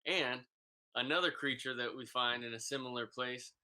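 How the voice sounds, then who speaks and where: A man hosting a casual podcast, excitable and hyping up his guest. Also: mid-range voice, moderate pace, clean tone. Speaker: podcast host.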